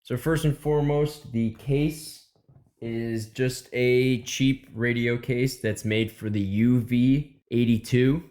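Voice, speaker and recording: A man's voice: medium pitch, fairly even intonation, slow, darkish tone. A young man hosting his own channel, walking through a homemade emergency radio kit in a plain, matter-of-fact way. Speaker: host. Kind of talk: casual gear walkthrough.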